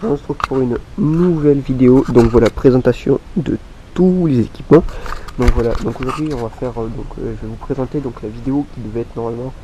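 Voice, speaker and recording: A man with a cold talks in a nasal, stuffed-up voice at an ordinary pitch for a man. He chats in a loose, rambling way, like a hobby vlogger showing his gear to viewers. There is a faint hiss of noise behind him. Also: quick pace, highs cut off. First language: French. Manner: rambling, leans on repeated filler phrases. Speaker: mountain bike vlogger. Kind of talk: casual gear showcase talk to viewers.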